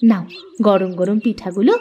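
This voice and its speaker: A woman's voice: mid-range voice, steady tone, moderate pace, mellow, breathy edge, lightly acted. A woman playing a kind, hospitable village mother in a fairy-tale cartoon, coaxing and motherly. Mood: caring.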